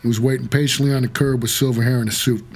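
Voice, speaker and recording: An actor playing a doomed, haunted taxi driver for the dead, narrating an audio drama in a world-weary, measured noir manner. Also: male voice, mid-range voice, steady tone, slight background noise, natural voice. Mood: wry, observant.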